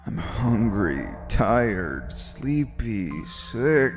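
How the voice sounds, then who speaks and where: A man's voice: fairly low pitch, big pitch swings, drawn-out words, faint music behind, heavily acted. A man playing an overworked, self-deprecating young man in a manga dub, speaking in an earnest, polite and hesitant way. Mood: exhausted, despairing. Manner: earnest, dramatic, hesitant and apologetic. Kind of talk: anime-style manga dub acting with first-person narration.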